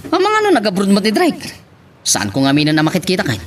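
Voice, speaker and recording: A man playing a chatty, needling pal in an Ilocano-accented drama. His pitch jumps around in a lively way, over faint background noise. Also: quick pace. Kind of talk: Ilocano radio-style drama acting.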